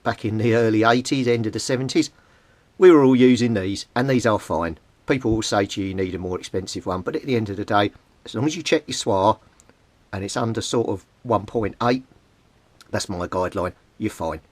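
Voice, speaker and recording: A man's voice: lowish voice, no echo. A middle-aged British man giving an informal, plain-spoken hands-on demonstration as a hobbyist. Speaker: CB radio hobbyist presenting a tutorial. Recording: clearly noisy amateur home recording.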